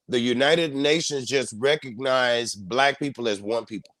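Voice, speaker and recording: A man's voice: medium pitch, fairly bright tone, no echo. A man hosting a live online panel, speaking emphatically and repeating key phrases in a preaching cadence over a video call. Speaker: host.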